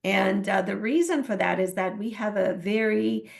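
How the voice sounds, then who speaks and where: Gravelly-voiced woman coach from Iran. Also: mid-range voice, normal speed. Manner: earnest, many fillers and restarts. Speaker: guest, transformational coach. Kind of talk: interview answers.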